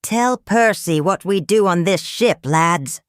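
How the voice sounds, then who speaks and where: Casting: female reader as growling, domineering pirate captain for a children's story, loud barked commands, dry sound. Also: lively intonation, takes it slowly, no room sound. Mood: commanding. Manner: booming, growling, barking orders. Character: gruff bullying pirate captain, angry and bossy.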